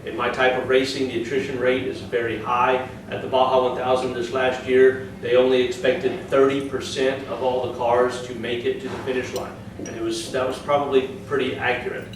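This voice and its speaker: Flat-voiced man, far off in echo. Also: medium pitch, brisk. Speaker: race driver. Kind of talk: presentation to a club. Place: meeting room. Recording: echoey room, distant mic, noisy.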